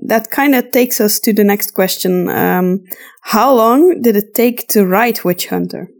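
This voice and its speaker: A woman's voice: mid-range voice, unhurried, a little mellow. A woman speaking casually and unscripted as a podcast co-host. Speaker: podcast co-host reading listener questions.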